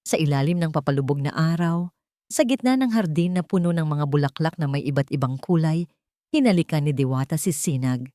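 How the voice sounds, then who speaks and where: Low-pitched woman storyteller, animated intonation. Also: brisk, no room sound, studio quality. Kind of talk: scripted romantic story narration.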